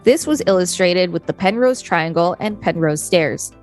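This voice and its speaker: A woman's voice: lowish voice, lively intonation, moderate pace, darkish tone, soft background music. A woman co-hosting a podcast, reading encyclopedia text aloud with brief conversational asides. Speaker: podcast co-host.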